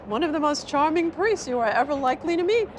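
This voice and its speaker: A woman's voice: high-pitched, animated, fast talker. Woman playing a theatrical older actress, gushing, nostalgic, train noise behind. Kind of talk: period film drama.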